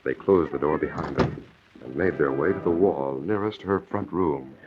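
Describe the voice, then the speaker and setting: An actor voicing the dramatic narrator of an old-time radio crime serial. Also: male voice, a bass voice, moderate pace. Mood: measured, suspenseful. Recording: dull lo-fi old radio recording.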